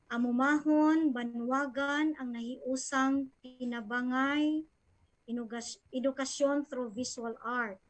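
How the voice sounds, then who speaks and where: A woman with a Filipino accent giving a formal, measured presentation read from slides. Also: fairly high pitch, low-quality recording. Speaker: education official presenting a plan.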